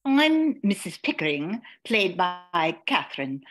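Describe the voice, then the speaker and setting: A woman's voice: on the low side, very animated, slow, a bit bright, full character voice. A woman playing a slightly sarcastic housekeeper and cook in an amateur radio play. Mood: wry.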